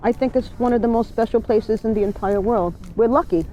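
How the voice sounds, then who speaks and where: Female New Yorker, local accent, soft dark tone, earnest interviewee over music. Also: on the high side, a little fast, highs cut off. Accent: New York. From New York City. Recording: music behind the voice.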